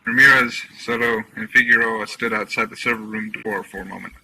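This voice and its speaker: Gravelly, sharp-edged man narrating a story. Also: on the low side, fairly even intonation, brisk. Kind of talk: scripted story narration, read live. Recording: distant mic with background noise.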